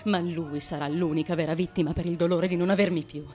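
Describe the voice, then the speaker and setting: An actress playing the devoted, tormented wife of an ancient Greek tyrant in a radio drama monologue, with an intense, theatrical delivery. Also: female voice, on the low side, fairly even intonation, fast, natural voice. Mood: grave, insistent. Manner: intense, dramatic, with hushed asides and outcries.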